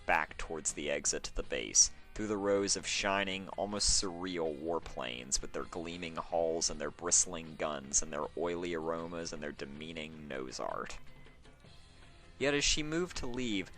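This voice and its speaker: Deep-voiced man narrating a story, sore throat. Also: expressive, a little slow, a bit bright, faint music behind. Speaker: fan fiction reader.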